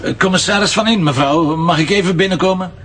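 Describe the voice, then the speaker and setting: A man's voice: high-pitched, fast, fairly bright tone, recorded from a distance, a little hiss or hum. A man with a Flemish accent playing a stubborn, self-assured police commissioner in an audio drama, speaking in an insistent, dryly casual way. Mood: matter-of-fact. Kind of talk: naturalistic audio drama acting.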